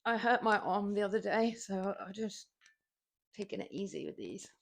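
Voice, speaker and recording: A woman's voice: mid-range voice, expressive, moderate pace. A young woman with a southern English accent, chatting casually with her stream viewers while lifting weights, rambling and laughing often. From southern England. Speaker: fitness streamer.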